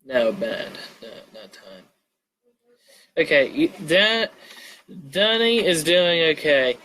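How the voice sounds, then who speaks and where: A man's voice: fairly high pitch, big pitch swings, takes it slowly, sharp tone, poor audio. A man chatting casually on a livestream, rambling and muttering to himself as he goes. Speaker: live streamer.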